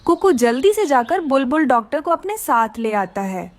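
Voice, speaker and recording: A woman narrates a children's story from a script. Her voice is high, with very lively rises and falls. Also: moderate pace, no room sound.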